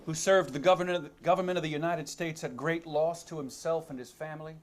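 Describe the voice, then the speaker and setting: A man's voice: a little high, fairly even intonation, fairly quick, close to an everyday voice. A man playing an indignant congressman in a dramatic hearing reading, formal and accusatory as he presses the witness.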